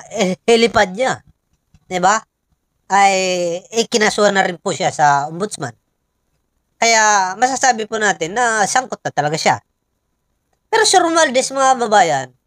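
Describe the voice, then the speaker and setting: A woman's voice: mid-range voice, dry recording, clean studio sound. A woman with a Filipino accent giving casual opinion commentary, conversational and full of rhetorical questions to viewers. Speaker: political commentator and host.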